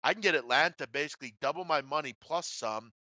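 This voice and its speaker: A man hosting a casual sports betting discussion, speaking bluntly with some swearing and halting asides. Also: on the high side, pushed and bright, no echo. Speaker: sports betting show host. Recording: slightly dull, dry lo-fi audio.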